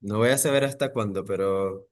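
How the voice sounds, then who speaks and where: A man, talking in a thoughtful, halting way with many pauses and restarts as an interview guest. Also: on the low side. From Brazil. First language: Portuguese. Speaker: architect guest. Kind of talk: interview conversation.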